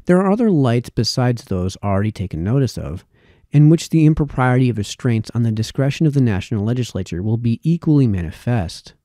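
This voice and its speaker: A man reads a formal essay aloud in a very soft-edged, dark and mellow voice. His phrasing is measured and deliberate, yet the pitch rises and falls in a lively way. The sound is dry and close, with no room echo. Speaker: amateur reader of historical texts.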